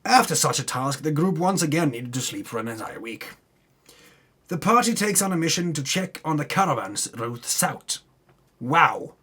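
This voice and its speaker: A man narrates a fantasy campaign recap as a mock-dramatic storyteller. His voice sits a little high and swoops widely, with a husky, rough edge. He is theatrical and tongue-in-cheek, dropping into muttered asides. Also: expressive, normal speed, sharp tone. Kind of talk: dramatic comic reading of a fantasy game recap.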